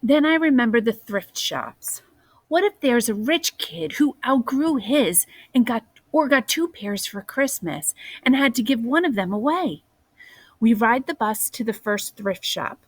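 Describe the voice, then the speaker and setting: A woman reading a children's picture book aloud in a deliberate way, as a teacher does for young listeners. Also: on the high side, lively intonation, no room sound. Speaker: storybook reader, teacher.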